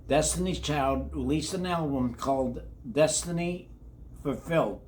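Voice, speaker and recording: An older man reading a children's book aloud in a folksy way, with chatty asides to his young listeners. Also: fairly high pitch. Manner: folksy, addresses children directly, repeats words. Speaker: children's read-along host.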